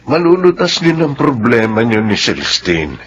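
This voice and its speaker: An actor playing a sympathetic, confiding friend in a Filipino radio drama, with a husky voice. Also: male voice, medium pitch, very animated, normal speed, dull audio, natural voice. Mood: consoling, confiding.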